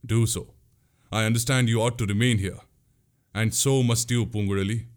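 A man's voice: on the low side, fairly even intonation, normal speed, close to an everyday voice. A man playing a bold, commanding young prince in a historical tale, with formal, resolute speech. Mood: reassuring. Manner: formal, resolute, archaic wording.

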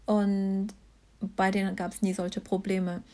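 A woman's voice: mid-range voice, level tone, takes it slowly, mellow. A young woman from Germany, talking casually about her own experiences as an interview guest. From Mannheim, Germany. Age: young adult. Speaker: interview guest, science student. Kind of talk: casual conversational interview, personal account.

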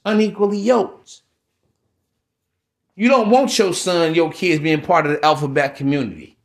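A man with an American accent giving an informal, blunt monologue to listeners, slangy and preachy, repeating himself for emphasis. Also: fairly high pitch. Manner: emphatic repetition. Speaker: podcast host.

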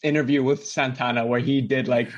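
A man's voice: medium pitch, level tone, a little fast, dull audio, a little hiss or hum. A young man from Indonesia co-hosting a casual podcast, telling stories in a rambling way with lots of "like" and laughter. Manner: rambling, heavy filler "like", laughs often. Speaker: podcast co-host. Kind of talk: casual chat.

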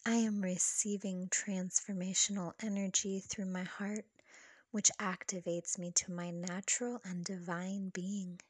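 A woman leading a scripted guided meditation with affirmations, speaking in a soft, breathy, soothing and measured way. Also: medium pitch, flat delivery, dry recording, studio quality. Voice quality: soft, breathy, partly whispery. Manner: soothing, slow, measured delivery.

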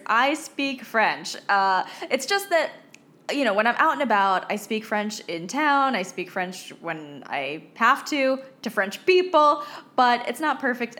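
A woman's voice: mid-range voice, sharp tone. An American woman co-hosting a podcast in casual chat, speaking in a joking, self-deprecating way. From United States. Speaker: podcast co-host.

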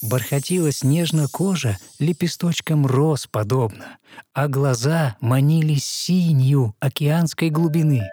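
Male fairy tale narrator over soft music. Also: on the high side, measured pace. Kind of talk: scripted verse storytelling.

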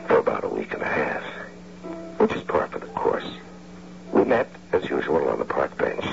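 An actor playing a scheming, smooth-talking husband in an old-time radio drama, with a coaxing manner. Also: male voice, extremely low pitch, very animated, moderate pace, music in the background, heavily acted. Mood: wry narration. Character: scheming smooth-talking husband, engineer turned house-husband bank robber. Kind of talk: old-time radio drama acting with first-person narration. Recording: dull, humming old radio recording.

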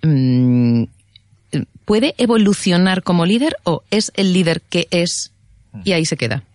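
A man's voice: high-pitched, animated, measured pace, no room sound, clean studio sound. A man hosting an interview, attentively summing up the guest's points. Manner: attentive, backchannels and sums up points. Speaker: host. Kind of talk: radio-style interview.

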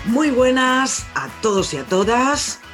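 A high-pitched man with a Spain Spanish accent hosts a casual film podcast. He talks in a chatty, easy way, steering his co-hosts along. Faint music plays under his voice. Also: very animated, slow pace. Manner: chatty, runs the show and announces a giveaway. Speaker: podcast host.

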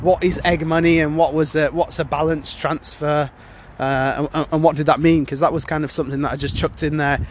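A man's voice: fairly high pitch, level tone, a little fast, few pauses, slight background noise. A man hosting a casual solo podcast, sounding congested from a cold and rambling with many fillers and restarts. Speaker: podcast host.

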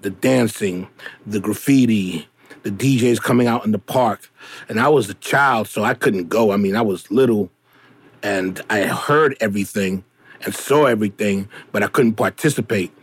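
A man from the South Bronx with a New York accent telling his own story as a hip hop artist, in emphatic, measured phrases. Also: fairly low pitch, husky. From South Bronx, New York, United States. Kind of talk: performed autobiographical storytelling.

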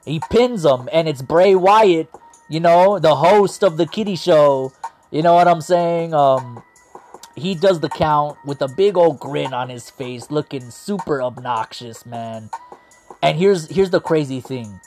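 Hyped man, going hoarse. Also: on the high side, expressive, a little slow, a little mellow, soft background music. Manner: hyped, rambling, heavy on "man" and "like". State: losing his voice. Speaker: wrestling show host. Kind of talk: excited casual commentary.

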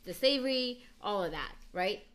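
Assertive debating woman from africa, mid pitch, casual podcast chat. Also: animated, normal speed, fairly bright tone. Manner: assertive, argumentative, laughs.

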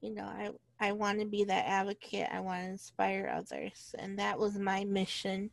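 A woman's voice: medium pitch, completely flat delivery, vocal fry, no room sound. A young woman co-hosting a casual podcast, speaking earnestly with small halts. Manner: earnest, halting with ums.

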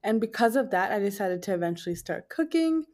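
Podcast guest, female, twenties, nasal mid-pitched voice, casual and conversational delivery. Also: sing-song, a little fast, darkish tone. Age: 20s. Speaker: podcast guest, food blogger.

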